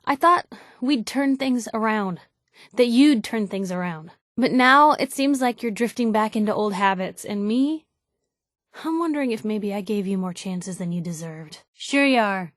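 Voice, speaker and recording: A woman's voice: mid-range voice, animated, normal speed, no room sound, clean studio sound, close to an everyday voice. An actress with an American accent playing a feisty, nosy young woman reporter as a game companion, wry, snappy, informal and emotionally direct. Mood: stern, disappointed. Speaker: video game companion character. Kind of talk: acted game dialogue.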